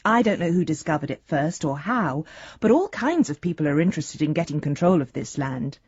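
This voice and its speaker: An earnest young daughter reading her letter aloud in a radio drama. Her voice is mellow and a little husky, chatty and sincere. The sound is dry and close. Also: deep voice, expressive, fairly quick, no echo, highs cut off, heavily acted. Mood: earnest, affectionate. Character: earnest, affectionate grown daughter writing to her father.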